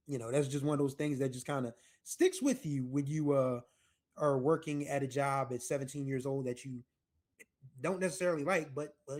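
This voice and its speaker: An American man in his forties talks through a music episode in a loose, chatty way, wandering into asides and correcting himself as he goes. His voice sits a little higher than most men's. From United States. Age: 40s. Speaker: music podcast host. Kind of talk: casual music commentary.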